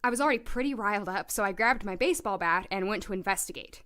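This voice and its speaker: A woman's voice: mid-range voice, fast talker, bright, forceful tone, clean tone, close to an everyday voice. A woman playing a composed, plucky woman doctor in an audio drama, speaking politely and directly. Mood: recounting.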